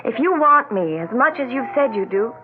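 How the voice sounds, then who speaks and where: Insistent other woman, played by an actress, frustrated, slightly high voice, vintage radio play with clear music behind. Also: animated, moderate pace, phone-quality sound, close to an everyday voice. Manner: direct, insistent. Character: frustrated, demanding mistress of a married man. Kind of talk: 1970s radio drama acting.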